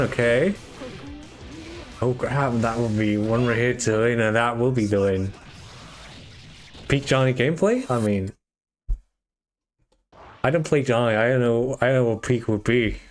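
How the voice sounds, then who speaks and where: A man's voice: medium pitch, measured pace, pauses often, soft background music. Casual man doing solo esports commentary. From Germany. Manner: casual, rambling, chatting with viewers. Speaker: tournament organizer.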